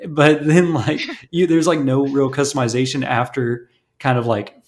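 A man co-hosting a podcast in casual chat, telling stories in a relaxed way with many fillers. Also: mid-range voice, moderate pace. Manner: relaxed, filler-heavy storytelling. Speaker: podcast co-host.

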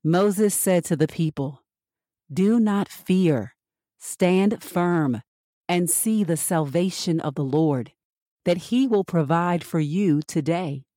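A woman reading scripture as scripted narration for a Bible podcast, measured and expressive with the dialogue. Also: on the low side, no echo, studio quality.

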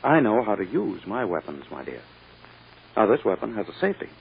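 An actor playing a smug, ruthless lawyer in a 1940s radio drama, speaking in a pompous, condescending, deliberate way. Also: male voice, on the low side, very animated, creaky, slightly rough, heavily acted. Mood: coldly menacing. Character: smug, ruthless, silky male prosecuting lawyer. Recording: muffled old-time radio recording.

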